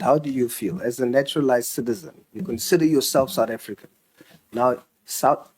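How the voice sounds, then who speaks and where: A man's voice: medium pitch, darkish tone, slightly rough. A man grills officials at a committee hearing. He presses his questions and repeats them insistently. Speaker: member of parliament questioning officials.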